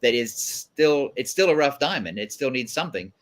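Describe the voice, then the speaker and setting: A man's voice: mid-range voice, lively intonation, moderate pace. A man guest-hosting a casual panel chat, talking conversationally and acting out little bits of dialogue. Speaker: guest host.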